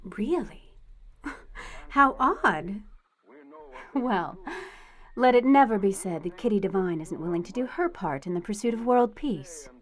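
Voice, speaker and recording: A woman's voice: mid-range voice, animated, a little slow, lightly acted. A woman playing a cunning, playful lady cat burglar in a radio drama, arch and theatrically coy. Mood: mock innocence. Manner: arch, theatrically mock-innocent. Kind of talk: radio drama acting and narration.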